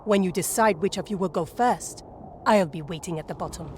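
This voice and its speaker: An actress playing a solemn, steady warrior companion in a video game cutscene, measured and serious. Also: female voice, lowish voice, noisy background, natural voice.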